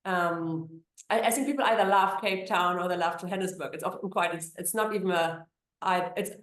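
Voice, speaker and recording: A woman's voice: lowish voice, a little fast, a bit bright, echoey room. A woman from Germany with a German accent, an immigration attorney answering interview questions in a chatty way with many fillers and restarts.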